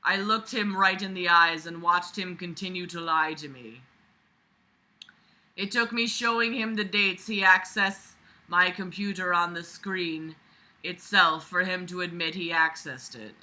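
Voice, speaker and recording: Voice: medium pitch, measured pace, sharp tone, poor audio. A reader going through a book aloud with sarcastic running commentary, exasperated, blunt and prone to swearing.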